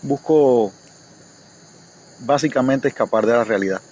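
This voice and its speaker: A Cuban man speaks in an average-pitched voice, recalling personal memories at a reflective, halting gait. He sounds like a guest in a casual chat. The recording is muffled and lo-fi, with light noise and insects behind him. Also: darkish tone. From Cuba. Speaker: guest reader. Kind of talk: personal recollection in casual conversation. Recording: dull lo-fi audio, faint noise, insects.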